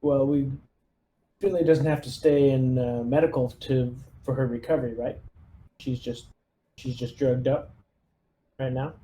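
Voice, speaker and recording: A man's voice: medium pitch, fairly even intonation, a little slow, pauses often, mellow. A man playing a tabletop roleplaying game, talking through his plans in a casual way. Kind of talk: casual in-character game talk. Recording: roomy echo, faint background noise.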